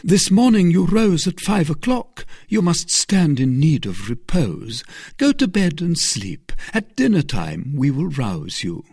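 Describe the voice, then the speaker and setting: A man voicing a kindly, anxious convent abbess who questions earnestly and hesitantly, in a raised husky voice. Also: a little high, wildly swooping pitch, measured pace, a little mellow, dry recording, clean studio sound, pitched above the performer's own voice, full character voice. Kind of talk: audiobook character reading.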